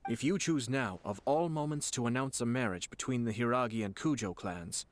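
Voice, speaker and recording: A male actor voices a young noble lord from a video game. His mid-pitched voice is composed and courteous, with a measured, formal, self-assured delivery. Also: dry recording, close to an everyday voice. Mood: measured concern.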